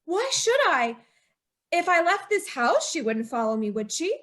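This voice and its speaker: A woman playing a panicked high-voiced young wife. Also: big pitch swings, measured pace, a bit bright, exaggerated voice. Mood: bitter. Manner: panicked, pleading, sobbing. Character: terrified young wife, noose around her neck. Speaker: radio actor. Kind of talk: old-time radio drama acting.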